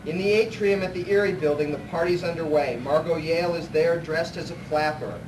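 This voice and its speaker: A man's voice: a little high, a little fast, close to an everyday voice. A man narrating a live radio play as a plain-spoken storyteller. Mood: storytelling. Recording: echoey noisy hall, distant mic.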